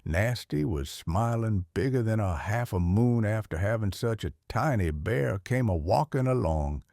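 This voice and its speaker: A man with a Southern US accent reading a children's storybook aloud in a folksy, expressive way. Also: fairly low pitch, unhurried, vocal fry. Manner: folksy, animated.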